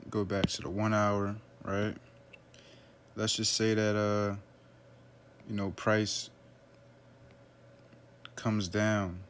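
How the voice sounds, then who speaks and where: A man walks through a trading chart in a relaxed, offhand way, often pausing on "um" and "right?". His voice sits low and stays flat, with little rise or fall. A faint hum of background noise runs under the recording. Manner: relaxed, loose, lots of fillers like "um" and "right?". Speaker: forex trader analyzing a chart. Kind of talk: casual screen-share trading walkthrough.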